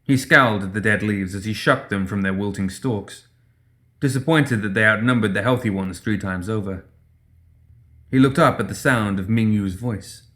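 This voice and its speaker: Low-pitched man narrating dramatic fiction. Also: moderate pace, fairly bright tone. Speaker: audiobook narrator.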